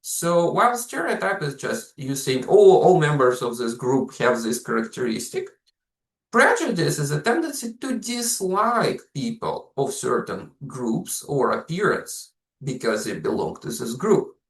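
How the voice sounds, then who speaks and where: A man's voice: on the high side, big pitch swings, a little slow, roomy sound. A man from Russia with a Russian accent, giving an explanatory university psychology lecture and often saying "so" and "like". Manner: explanatory, uses frequent "so", "uh" and "like".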